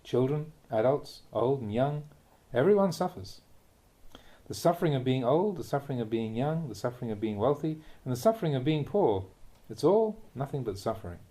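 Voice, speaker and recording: Guy reading a buddhist talk like an audiobook, relaxed dark tone, lots of up and down, deliberate phrasing. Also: mid-range voice. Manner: measured, deliberate phrasing in short clauses. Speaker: monk reading a Buddhist teaching aloud. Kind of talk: read-aloud dharma talk, audiobook narration.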